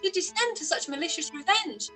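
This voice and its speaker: A woman plays an outspoken young gentlewoman in a period radio drama. Her voice is high and bright with very animated intonation. She is candid and expressive. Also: fairly quick, faint music behind, full character voice, low-quality recording. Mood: indignant. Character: spirited, outspoken young gentlewoman, quick to judge. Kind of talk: live-read period radio play acting.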